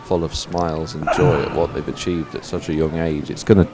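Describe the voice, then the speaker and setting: A man giving live sports commentary as presenter, speaking in a halting, hesitant way. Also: a bass voice, steady tone, very noisy.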